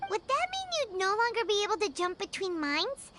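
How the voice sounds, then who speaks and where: A woman voicing a tiny childlike fairy companion in a video game, excitable, blunt and exclamatory. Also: extremely high pitch, fairly quick, slight background noise, full character voice. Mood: worried. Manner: exclamatory, refers to self in third person. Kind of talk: video game character acting.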